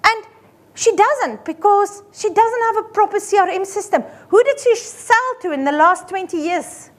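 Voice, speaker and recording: A middle-aged woman with an Afrikaans accent, an accountant giving a lively seminar presentation full of everyday examples. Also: very high voice, normal speed. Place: seminar room.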